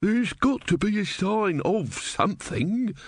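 A man's voice: high voice, expressive, takes it slowly, husky, no echo, studio quality, pitched above the performer's own voice, heavily acted. A man voicing an elderly, long-winded know-it-all who talks in a boring, unstoppable drone. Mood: pedantic.

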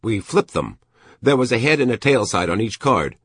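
A man's voice: mid-range voice, very animated, moderate pace, breathy edge, no echo, pitched above the performer's own voice. A man voicing a fussy, nostalgic male antiques dealer in an audiobook, speaking emphatically.

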